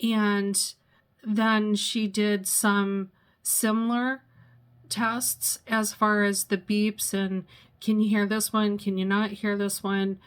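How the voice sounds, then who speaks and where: A woman in her sixties sharing a personal vlog, pausing often and searching for words as she talks. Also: mid-range voice. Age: 60s. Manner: halting, searches for words, many pauses and fillers. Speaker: vlogger.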